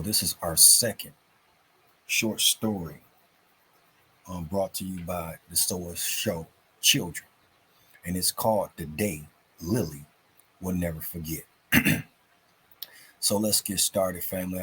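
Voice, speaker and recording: A man hosting and reading for a children's story show in an informal, folksy, unhurried way, with a husky, raspy voice. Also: low voice, a bit bright, a little hiss or hum, low-quality recording. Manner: folksy, unhurried, addresses listeners as family.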